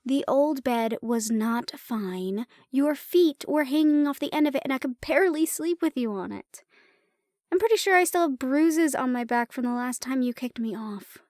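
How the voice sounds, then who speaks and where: A woman acting as an affectionate, teasing woman in a fantasy roleplay audio drama. Her voice is high and soft, close and very dry. She talks intimately to her partner, unhurried, leaving pauses. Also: lively intonation, no room sound. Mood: teasing, warm. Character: affectionate, teasing human woman, dragon's partner and healer shopkeeper.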